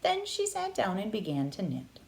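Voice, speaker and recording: A woman narrating a children's picture book aloud with expressive, dramatic phrasing. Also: medium pitch, moderate pace, poor audio. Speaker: read-aloud storyteller.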